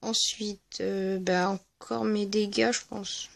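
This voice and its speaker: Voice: mid-range voice, little pitch movement, takes it slowly, gravelly, dry recording. Hesitant gamer, very dry sound. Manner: hesitant, rambling. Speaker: gaming video creator. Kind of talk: casual gameplay commentary. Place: at home, voice-over on mobile gameplay recording.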